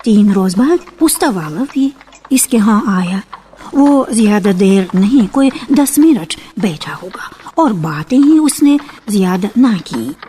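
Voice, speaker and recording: A woman narrating a literary radio drama in an expressive, deliberate storytelling style, lingering on key words. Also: medium pitch, a little hiss or hum. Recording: archival radio broadcast with faint music. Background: faint music.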